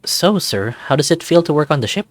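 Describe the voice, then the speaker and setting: A man's voice: on the high side, big pitch swings, a little fast, no echo, faint music behind, voice pushed up. A man voicing a friendly, inquisitive young lifeguard, the hero of a story game. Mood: curious. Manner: chatty, inquisitive.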